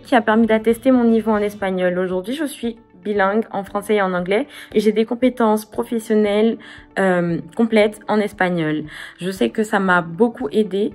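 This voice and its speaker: A woman's voice: medium pitch, darkish tone. A young adult woman giving a composed, prepared testimonial as a former student.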